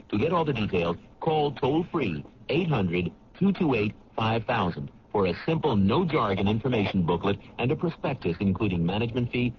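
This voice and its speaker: A man announcing a 1970s radio commercial in a smooth, persuasive sales delivery. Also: on the low side. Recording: dull lo-fi radio with clear hiss.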